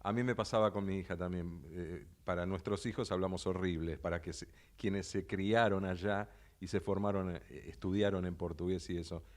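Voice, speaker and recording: A man's voice: low voice, fairly even intonation, moderate pace, hardly any pauses. A man co-hosting an interview, summing up points in a thoughtful way with hesitant fillers. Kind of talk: casual interview talk.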